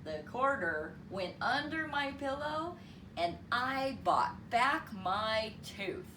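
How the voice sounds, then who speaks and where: A woman reading a story aloud to children in an expressive, deliberate, teacherly way. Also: high-pitched. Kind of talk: expressive read-aloud storytelling with counting lesson. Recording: roomy, distant mic with clear background noise.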